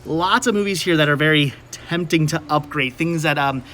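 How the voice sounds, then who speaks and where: A man's voice: a little high, normal speed, sharp tone. An American man hosting a casual shopping vlog, chatting to viewers and often repeating his words as he goes. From United States. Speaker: host of a movie-collecting video channel. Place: on location at a flea market and store.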